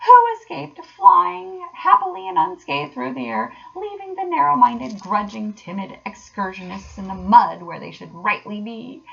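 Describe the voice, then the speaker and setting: A woman's voice: medium pitch, normal speed, creaky, hardly any pauses, slightly rough, muffled recording. A woman voicing a boastful, reckless male toad who crows in self-admiring declamation for a storybook. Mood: gleeful gloating.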